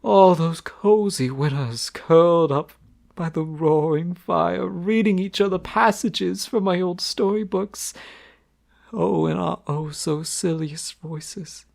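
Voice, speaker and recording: A man playing a shy, gravelly young prince. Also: on the high side. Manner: soft, hesitant, halting. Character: shy, sensitive young male crown prince. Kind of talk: romantic audio roleplay.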